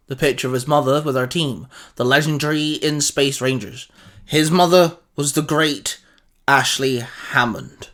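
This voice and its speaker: A man's voice: mid-range voice, a bit bright. A man reading a scripted adventure story as its narrator, in a dramatic storytelling style.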